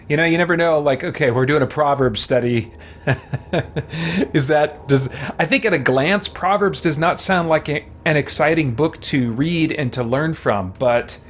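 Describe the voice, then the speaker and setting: A man's voice: a little high, fairly bright tone. A man hosting a game live stream, thinking aloud in casual, easygoing commentary and chatting with viewers.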